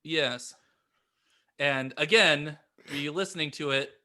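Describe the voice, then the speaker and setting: Male co-host, bright and slightly high voice, casual banter, self-deprecating humour. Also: slow. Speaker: podcast co-host.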